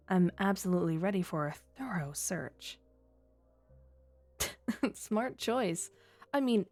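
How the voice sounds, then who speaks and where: A woman's voice: lowish voice, darkish tone, close to an everyday voice. A woman playing a flirty, teasing female criminal who toys with a detective in a coy, sultry ASMR roleplay. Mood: seductive, teasing. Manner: coy, sultry, playful innuendo.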